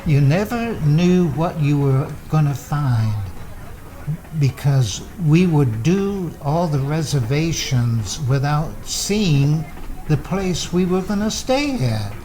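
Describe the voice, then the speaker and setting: An older man chatting with his son at home, halting and unhurried, with a dry sense of humor. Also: fairly high pitch, expressive, dark tone, slight background noise, rough recording. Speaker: guest, host's father. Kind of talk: casual chat. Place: his condo, indoors.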